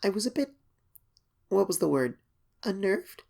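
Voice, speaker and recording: A woman voicing an anxious young pony filly, reading her diary as an expressive, hesitant horror story narration. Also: medium pitch, unhurried, creaky, heavily acted. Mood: unnerved, wondering. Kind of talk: dramatic first-person horror story reading.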